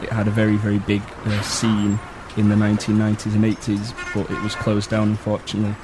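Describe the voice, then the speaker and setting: Low flat-voiced Mancunian man. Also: fast, noisy background. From Manchester, England. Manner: relaxed, filler-heavy, with lots of ums. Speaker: local guide. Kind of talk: casual explaining.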